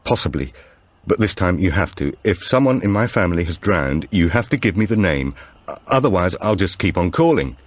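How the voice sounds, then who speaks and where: A man's voice: deep voice, sing-song, a little fast, dry recording. A man voicing an anxious, insistent father who speaks in terse, demanding phrases in an audiobook thriller. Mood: tense, insistent. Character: anxious, insistent husband and father fearing bad news.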